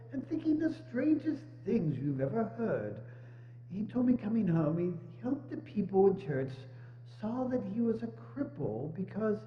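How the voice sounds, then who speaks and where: A man playing a warm, humble father of a poor family, earnest and hearty, in a dramatic reading. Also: high voice. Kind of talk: dramatic reading of a stage adaptation. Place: indoors, church. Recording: echoey church hall, dull sound, faint hum.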